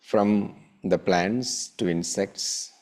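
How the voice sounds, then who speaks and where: Very slow, low-voiced male meditation guide. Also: a little mellow. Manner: measured, with long pauses between short phrases. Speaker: meditation teacher.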